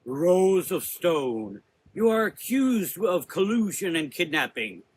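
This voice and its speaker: A man's voice: sits high, big pitch swings, slow, a little hiss or hum, voice pushed up, heavily acted. A man voicing a stern, commanding, suspicious woman of about forty who leads a temple in a gothic audio drama, speaking with accusing authority. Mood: angry, accusing.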